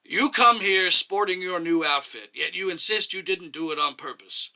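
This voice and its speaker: A man's voice: sits high, normal speed, sharp tone, voice pushed up, full character voice, poor audio. A man voicing a stern, sarcastic commission chairman who questions pointedly and overbearingly in a short story reading. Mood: stern, scornful.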